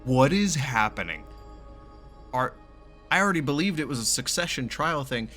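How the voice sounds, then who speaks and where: A man's voice: medium pitch, expressive, moderate pace, sharp tone. A man giving casual, exclamatory live game commentary, swearing freely and asking baffled questions. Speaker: gaming streamer reacting to a story quest. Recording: game music faintly behind. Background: game music and dialogue.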